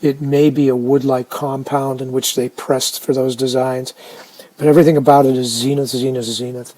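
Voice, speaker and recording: A man casually narrating a hands-on restoration video, unhurried and halting as he thinks aloud. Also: mid-range voice, flat delivery, warm tone, poor audio. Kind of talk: casual narrated how-to commentary.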